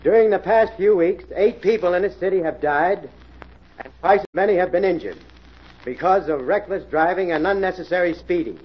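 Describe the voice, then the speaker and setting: A male actor plays a commanding American police inspector from an old radio play. He is brisk, declamatory and rather high for a man. The sound is dull and dated. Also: close to an everyday voice. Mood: stern, commanding. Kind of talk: 1940s radio acting. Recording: muffled old radio recording.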